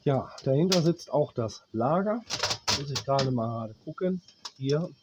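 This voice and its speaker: A man's voice: medium pitch, lively intonation, very noisy. A man with a German accent and a cold explains a dryer repair bluntly, stopping now and then to check parts as he works. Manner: blunt, plain-spoken, swears at cheap gear; pauses while working, talks to himself as he checks parts. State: has a cold, coughing. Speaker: appliance repairman.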